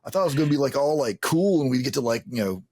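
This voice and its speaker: A man's voice: mid-range voice, expressive, racing, over a noisy crowd. A man hosting a casual interview, rambling and repeating words as he works out a thought. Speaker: interview host.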